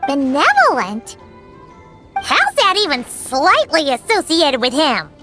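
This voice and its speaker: A woman voicing a tiny, cheeky and excitable female companion creature in a game who talks about herself in the third person. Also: high voice, slow pace, faint music behind, heavily acted. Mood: incredulous. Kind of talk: game cutscene voice acting.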